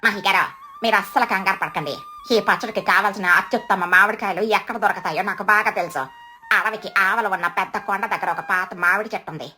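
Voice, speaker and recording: A woman's voice: mid-range voice, quick pace, bright, forceful tone, faint music behind, lightly acted. A woman voicing an eager, confident, helpful female bird in a Telugu children's cartoon, speaking emphatically.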